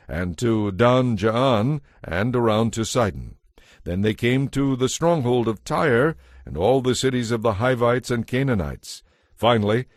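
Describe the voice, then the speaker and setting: Low-voiced man narrating scripture, dry recording. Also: no room sound. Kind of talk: scripted dramatized Bible narration.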